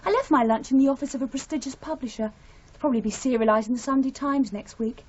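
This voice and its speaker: A woman's voice: high voice, fast, darkish tone, breathy edge. An actress playing a quirky, self-dramatising female novelist in a radio drama, airy and affected. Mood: musing. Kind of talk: 1980s British radio drama acting. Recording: slightly dull archive radio recording.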